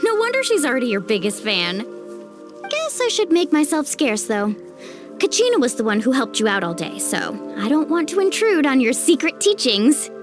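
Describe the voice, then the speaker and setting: A woman's voice: sits high, sing-song, moderate pace, music in the background. A woman playing a confident, friendly, teasing young athlete in a video game, breezy and encouraging.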